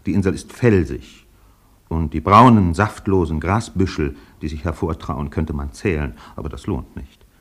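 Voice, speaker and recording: Low-voiced brooding guy narrating a radio play, solemn and deliberate, kinda melancholy. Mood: sombre, measured.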